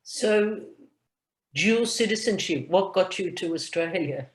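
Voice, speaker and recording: Interviewer, medium pitch, encouraging questions, roomy echo. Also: animated, takes it slowly, slight background noise. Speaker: interviewer for a society.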